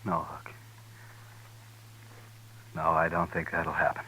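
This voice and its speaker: An actor playing an anxious small-town banker who fears ruin, pleading and fretful, in a 1950s radio drama. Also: male voice, a bass voice, little pitch movement, takes it slowly, pushed and bright, breathy edge, full character voice. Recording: noisy old radio broadcast.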